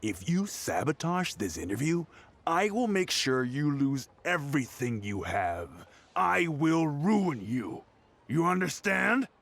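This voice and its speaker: A man's voice: fairly high pitch, big pitch swings, slow pace, gravelly, fairly bright tone, heavily acted. A man voicing a sleazy, bullying yet cowardly tabloid blackmailer in a video game. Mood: menacing. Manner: menacing, then flustered stammering bluster.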